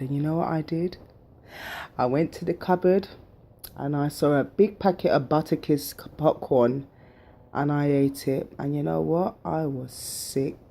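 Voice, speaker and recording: A woman's voice: very deep voice, dark tone. A middle-aged woman telling her own story in a chatty, emphatic way, full of fillers, then urging viewers on. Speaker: vlogger sharing her surgery journey. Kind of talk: casual personal storytelling to camera.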